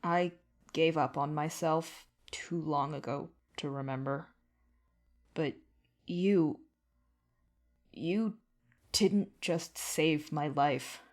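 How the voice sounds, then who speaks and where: Woman as male alien ex-captive for fiction podcast: low register, extremely slow, halting, earnest, weary. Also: a little mellow. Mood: emotional, grateful. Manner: halting, clipped fragmentary sentences. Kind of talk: scripted audio fiction dialogue.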